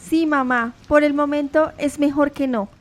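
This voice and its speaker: A woman's voice: high voice, steady tone, moderate pace, close to an everyday voice. A woman playing a protective young sister in a radio drama. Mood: gently firm.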